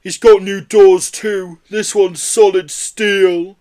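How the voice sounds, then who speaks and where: A huge, brash lady Yeti from a storybook, acted out by a male reader. She drawls her words slowly and loudly, booming with good humour. The pitch sits above an ordinary man's talk. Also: flat delivery. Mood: matter-of-fact.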